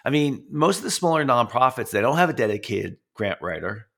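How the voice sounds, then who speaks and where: A man hosting a podcast while he has a head cold, which leaves him nasal. He talks in a halting way, with many fillers and restarts. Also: mid-range voice, sing-song, vocal fry, fairly bright tone. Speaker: podcast host. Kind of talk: casual interview, reading sponsor spots.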